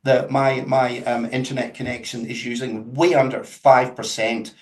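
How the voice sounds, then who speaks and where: A man with a Scottish accent explains technology on a webinar video call, and his talk is animated and emphatic, with jokey asides. His pitch sits in the middle of the range. The sound comes from a room that gives off a clear echo. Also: normal speed. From Scotland. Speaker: webinar guest speaker. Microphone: webcam with mic on a video call.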